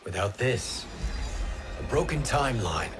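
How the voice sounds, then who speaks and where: A man playing a calm, noble god in a video game, speaking in a measured, formal and earnest way. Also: medium pitch, over music. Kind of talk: dramatic video game acting.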